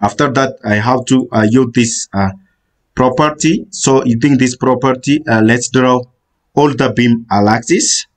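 Halting man giving software steps. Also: mid-range voice. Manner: halting, frequent "uh" fillers, step-by-step instructions. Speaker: software tutorial instructor. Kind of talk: screen-recorded software tutorial. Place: voice-over on screen recording.

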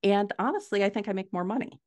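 A woman's voice: mid-range voice, fast, darkish tone. A middle-aged woman answering conversationally as an interview guest, explaining things and stressing her key points, on a video call from a room at home. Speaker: podcast guest, indie fiction author. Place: small furnished room at home, indoors.

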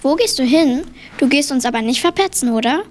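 A woman's voice: high-pitched, expressive, fairly quick. A woman playing an anxious, wary young runaway girl in an audio drama. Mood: suspicious.

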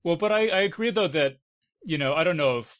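A man's voice: fairly high pitch, lively intonation, a little fast, a bit bright. A man hosting a casual discussion in a conversational way. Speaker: host.

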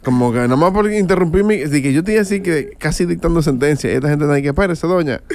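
A man's voice: fairly high pitch, fast talker, a little mellow. A man with a Dominican accent co-hosting a radio comedy show, telling jokes as little played-out stories. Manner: storytelling, plays out scenes. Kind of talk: joking banter. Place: radio studio.

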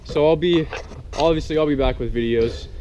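A man's voice: fairly high pitch, moderate pace, highs cut off, noisy background. A young man running a lawn care business, chatting casually to the camera outdoors with lots of fillers. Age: young adult. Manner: chatty and upbeat, many fillers and "guys". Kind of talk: casual vlog talk to camera. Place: outdoors by a house and garage, earlier in a truck. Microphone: action camera held close.

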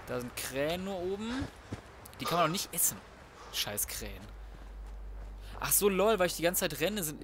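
A man's voice: on the high side, noisy background. A man giving casual let's play commentary, thinking aloud in slang with some swearing. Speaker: gaming video host.

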